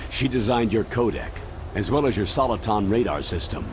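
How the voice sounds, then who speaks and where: A man acting older military colonel, low voice, authoritative, measured briefing delivery, game drama, noisy background. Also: expressive, natural voice. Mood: matter-of-fact. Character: authoritative male colonel, older mission commander.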